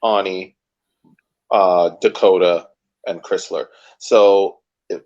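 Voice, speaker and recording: A man co-hosting a sports podcast, talking casually and thinking aloud in measured, careful points. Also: sits low, vocal fry, a little mellow. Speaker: podcast co-host. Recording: dull lo-fi podcast audio.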